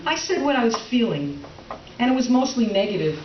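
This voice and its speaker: Woman acting a brittle wife, lively high voice. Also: fairly quick. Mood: guilty, candid. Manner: brittle politeness, dry sarcasm. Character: middle-aged wife, politely sarcastic, tightly wound, resentful. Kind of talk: comic readers theater acting and narration. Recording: roomy, distant, dull, faintly noisy stage recording.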